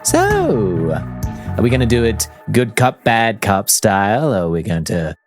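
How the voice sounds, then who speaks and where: A man puts on a teasing trickster of the shadows for a fantasy game. He is flippant and sulky, drawling slowly in a low, showy, mock-bored voice with music underneath. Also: wildly swooping pitch. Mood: gleeful, teasing.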